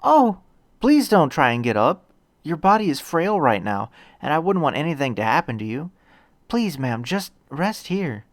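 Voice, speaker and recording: Man voicing an earnest, flustered teenage boy hero, pitched up. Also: very animated, moderate pace, no echo, studio quality. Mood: anxious, polite. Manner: stammering, polite, eager. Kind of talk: acted audiobook dialogue.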